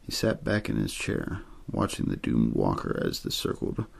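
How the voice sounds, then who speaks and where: A man reading a story aloud in ASMR style, soft-spoken and measured, with frequent pauses and a breathy, gravelly voice. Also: very deep voice, sing-song. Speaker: audiobook-style reader for an ASMR channel.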